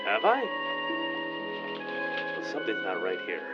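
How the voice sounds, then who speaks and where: An actor playing an indignant, bewildered astronaut in a comedic radio play, given to melodramatic outbursts. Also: male voice, fairly low pitch, huge pitch swings, unhurried. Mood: uneasy, puzzled. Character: exasperated, paranoid male astronaut, indignant and bewildered. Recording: muffled audio with music behind.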